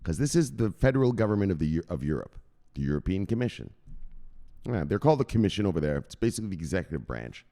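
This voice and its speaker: A man with a lowish voice hosts a crypto news commentary and rants through the news in a profane, emphatic way. His pitch swings widely as he punches his points. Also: very animated, fast, vocal fry, dark tone. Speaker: crypto news channel host.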